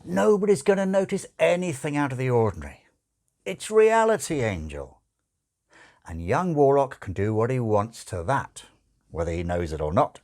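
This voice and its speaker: A man's voice: mid-range voice, big pitch swings, normal speed, darkish tone, voice pushed up. Man voicing a sardonic demon with emphatic phrasing. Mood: insistent. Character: suave sardonic male demon, urgent and brooding.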